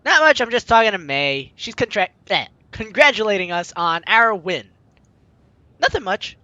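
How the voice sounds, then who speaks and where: Animated, bright-voiced game playthrough host. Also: medium pitch, moderate pace, dry recording, highs cut off. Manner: exclaims and voices dialogue expressively. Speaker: gaming video host reading and reacting to a visual novel. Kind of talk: playthrough narration with animated ad-libbed reactions.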